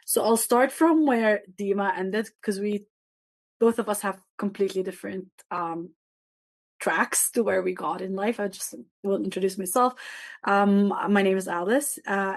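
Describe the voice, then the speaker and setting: A woman in her thirties, a native Arabic speaker from Jerusalem, talks on a discussion panel. She sounds earnest and reflective, often pausing on fillers and restarting her sentences. Her voice sits at a middle pitch, recorded close and dry. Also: dry recording. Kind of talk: panel talk.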